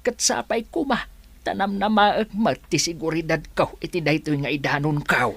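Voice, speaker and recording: A man's voice: on the high side, moderate pace, gravelly, fairly bright tone, no echo. A man with an Ilocano accent playing a timid, loyal older resort worker in a radio drama, hesitant, stammering and deferential. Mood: earnest, warning.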